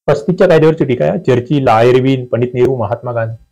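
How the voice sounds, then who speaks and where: A man's voice: mid-range voice, quick pace, dark tone. A man with a Maharashtrian accent teaching an exam-prep lesson, reading questions and answers aloud and stressing points with rhetorical questions. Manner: instructive, emphatic, often asks rhetorical questions.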